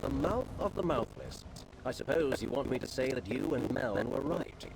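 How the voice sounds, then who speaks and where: An actor playing a self-important wizard magister in a video game, speaking formally and pompously. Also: male voice, mid-range voice, lively intonation, normal speed, gravelly, background noise. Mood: grudging, dry. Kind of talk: video game character dialogue.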